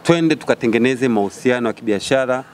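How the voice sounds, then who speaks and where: A man's voice: medium pitch, fast, a little mellow, slight background noise. A man with a Tanzanian accent, speaking as an event organiser addressing a press conference.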